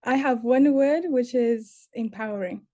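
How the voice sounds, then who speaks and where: A young woman from Sudan answers interview questions as a youth activist. Her voice is fairly high yet mellow. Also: measured pace. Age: young adult. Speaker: youth activist, podcast co-host.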